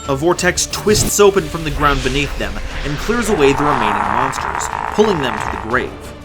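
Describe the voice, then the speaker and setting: A man's voice: a little high, sing-song, normal speed. A man narrating an audio drama from a script, in a theatrical storytelling manner. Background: prominent music.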